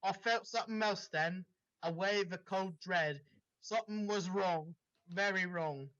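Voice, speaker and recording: A man's voice: sits high, measured pace, a bit bright. A man reading fan fiction aloud and breaking off into casual, chatty asides. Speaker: story reader. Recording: dull lo-fi recording.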